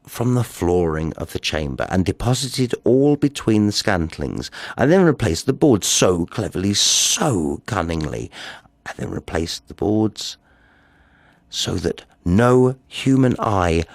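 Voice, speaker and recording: A man's voice: on the low side, no room sound, studio quality, exaggerated voice. A man playing an unhinged, cunning murderer confessing in a theatrical reading of a horror story, with dramatic swings in delivery. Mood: sly, hushed boasting.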